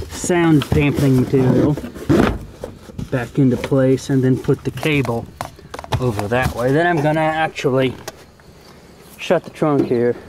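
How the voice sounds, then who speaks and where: A man's voice: medium pitch, very noisy. A man giving a casual hands-on tutorial in a folksy, plain-spoken way, as host of a homemade how-to video. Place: outdoors in and around a car.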